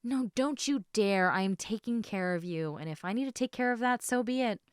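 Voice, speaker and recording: A woman playing a caring, slightly snarky snake woman in an intimate audio roleplay, speaking in a soft, hesitant conversational murmur that dips into whispers. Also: medium pitch, moderate pace, smooth, dry recording, clean studio sound, close to an everyday voice. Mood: playfully insistent.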